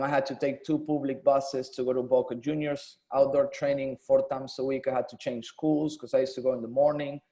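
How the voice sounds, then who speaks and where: A man in his thirties, originally from Argentina, speaks as a podcast guest with a mellow, warm tone. He talks in a relaxed, storytelling way, filling pauses with "um" and "you know". His pitch sits in an ordinary middle range. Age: 30s. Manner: relaxed, conversational storytelling with many "um"s. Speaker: guest, former pro player and coach. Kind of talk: casual interview.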